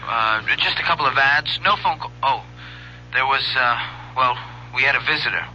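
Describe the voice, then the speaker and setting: An actor playing a frightened, obedient teenage son in a radio drama, talking with anxious hesitation. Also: male voice, medium pitch, normal speed, pushed and bright, muffled recording, a little hiss or hum. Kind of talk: 1970s radio drama acting. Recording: humming old radio drama recording.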